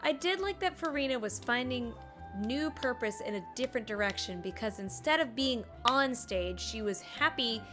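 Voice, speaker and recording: A woman giving casual, expressive and playful reaction commentary as she streams a game. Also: a little high, moderate pace, music in the background.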